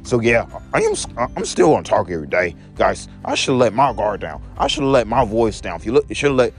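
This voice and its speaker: A young man with a deep voice talking in a rambling daily vlog, repeating each point in an if-you, to-me pattern and calling his viewers guys. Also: huge pitch swings, brisk, faint music behind. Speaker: vlogger.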